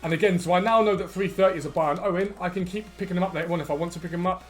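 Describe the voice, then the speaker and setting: Brief: man, hoarse from losing his voice, fairly high-pitched and rapid, casual tutorial delivery over faint music. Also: fairly even intonation. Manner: quick, casual, reads out prices in runs. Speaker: gaming video creator. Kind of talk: screen-recorded gaming tutorial.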